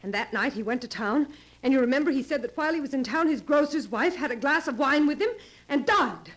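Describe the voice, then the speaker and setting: Sex: woman. Figure: terrified fugitive wife. Quality: breathy, high, swooping. Style: 1940s radio drama. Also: animated, fairly quick. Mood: urgent. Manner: urgent, pleading, dropping to hushed tones. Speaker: radio actress. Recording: old radio broadcast.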